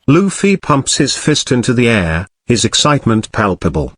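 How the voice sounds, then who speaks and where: A man's voice: medium pitch, sing-song, moderate pace, husky, no room sound, clean studio sound. A man reading scripted narration for an audio drama.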